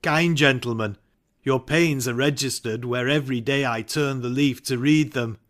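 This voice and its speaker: An actor playing an ambitious, brooding warrior thane in a dramatic Shakespeare reading. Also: male voice, mid-range voice, moderate pace, a bit bright, lightly acted. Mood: courteous.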